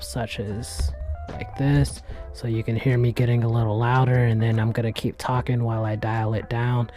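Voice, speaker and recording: A man hosting a gadget review channel, talking casually about a microphone in a soft voice with plenty of fillers. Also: medium pitch. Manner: relaxed, chatty, many fillers. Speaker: tech reviewer, channel host. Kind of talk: casual product review vlog. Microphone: USB desk microphone.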